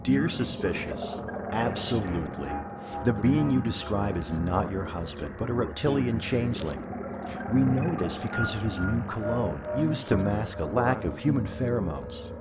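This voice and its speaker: A man playing an all-knowing abyss that gives solemn, deliberate, deadpan advice in a scripted comedy audio drama. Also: fairly low pitch. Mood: solemn certainty. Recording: loud music bed under voice.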